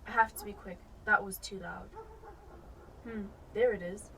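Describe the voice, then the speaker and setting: Bright, distant female burglar muttering , acted out. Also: medium pitch, steady tone, gravelly. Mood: nervous, furtive. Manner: hurried muttering to herself. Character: nervous, greedy burglar muttering to herself. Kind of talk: radio drama acting. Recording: distant mic with faint background noise.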